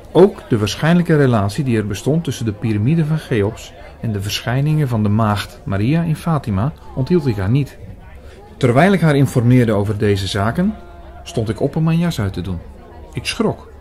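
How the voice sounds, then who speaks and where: A man playing an earnest, shy priest who narrates an audio drama in a measured, reflective way, hesitant in dialogue. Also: mid-range voice, mellow, close to an everyday voice.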